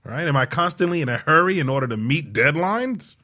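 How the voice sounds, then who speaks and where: A man's voice: on the high side, animated, brisk, bright, forceful tone. A man co-hosting a casual radio discussion, talking conversationally and putting questions to the listeners. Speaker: talk show co-host.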